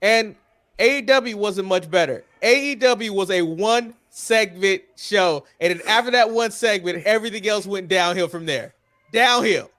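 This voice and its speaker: A man's voice: high voice, moderate pace, fairly bright tone. An American man hosting a casual podcast chat, opinionated and emphatic, repeating phrases to drive his point home. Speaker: wrestling podcast host.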